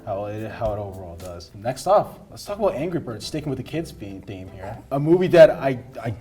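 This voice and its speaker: A man hosting a casual round-table discussion, animated as he introduces topics. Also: medium pitch, fairly quick, soft background music. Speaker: host.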